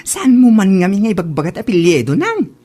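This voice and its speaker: A woman's voice: mid-range voice, a little mellow, slight background noise. A woman acting a nosy, teasing young best friend in a comedy drama.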